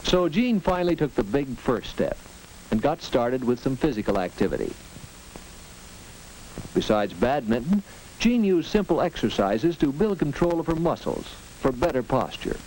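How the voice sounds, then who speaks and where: A man narrates a vintage instructional film in an earnest, teacherly way. His voice rises and falls with lively expression. The old soundtrack carries a faint hiss and occasional clicks. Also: medium pitch, poor audio. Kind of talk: 1940s educational film narration.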